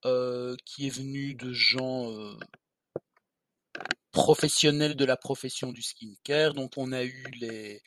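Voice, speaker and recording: Chatty man with a slightly high voice. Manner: chatty, explanatory, a little irreverent. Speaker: podcast co-host. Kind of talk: casual podcast chat.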